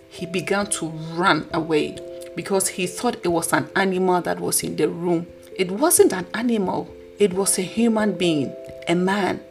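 A woman with a husky voice hosting a podcast, delivering an earnest, preachy motivational talk. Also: lowish voice. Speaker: podcast host.

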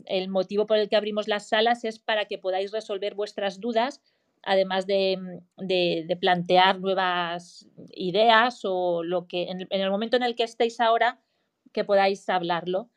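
A woman with a mid-pitched voice hosting a live audio room. She rambles a little, restarts sentences and repeats words as she welcomes her guest and invites listeners in. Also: steady tone, normal speed, clean tone.

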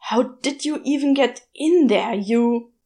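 A woman's voice: fairly high pitch, unhurried, mellow. A woman voicing a wily, easily vexed male demon who mutters, grumbles and snarls. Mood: furious.

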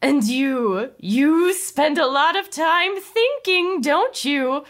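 Casting: woman playing effusive, over-welcoming forties hostess, high and animated, faintly sinister cheer, comedy table read. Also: takes it slowly. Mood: gushing, effusive. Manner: gushing, effusive.

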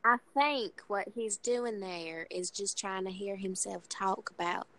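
A woman's voice: medium pitch, measured pace, fairly bright tone, slight background noise. A woman in her twenties chatting casually in a brash, blunt and boastful way. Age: 20s. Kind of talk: casual chat.

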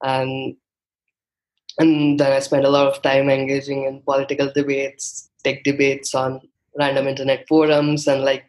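A man's voice: medium pitch, completely flat delivery, moderate pace, darkish tone, low-quality recording. A young man from India, a native Hindi speaker, answering interview questions thoughtfully with many fillers and restarts. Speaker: guest. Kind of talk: conversational interview.

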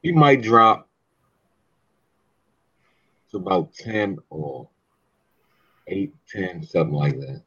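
A man co-hosting a casual sports talk show, with a husky voice and a laid-back way of talking. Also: low voice, big pitch swings, slow, pauses often, bright, forceful tone. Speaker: co-host. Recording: dull lo-fi sound.

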